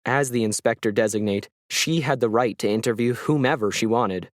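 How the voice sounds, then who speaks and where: A man's voice: mid-range voice, moderate pace, no room sound, clean studio sound. A man reading a scripted science fiction story as its narrator.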